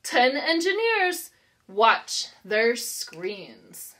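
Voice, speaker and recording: A woman's voice: a little high, very animated, very slow, sharp tone. An American woman in her thirties, a preschool teacher reading aloud and counting for young children in a simple, encouraging, instructional way with careful enunciation.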